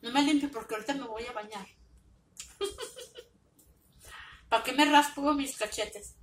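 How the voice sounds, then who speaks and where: A woman's voice: high voice, unhurried, a bit bright, slight background noise. Woman chatting between bites, roomy echo. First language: Spanish. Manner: talks between bites, hums while eating. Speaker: mukbang vlogger. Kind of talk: casual eating vlog, chatting while chewing. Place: home living room, indoors. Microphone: webcam at table. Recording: echoey room, mic a bit far.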